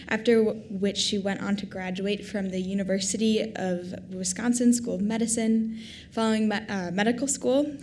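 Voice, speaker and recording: College-age woman, mid-pitched voice, halting scripted intro, faint noise behind. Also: a little mellow. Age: 20s. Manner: reads with nervous fillers. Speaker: student introducing speaker. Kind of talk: formal introduction. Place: lecture hall.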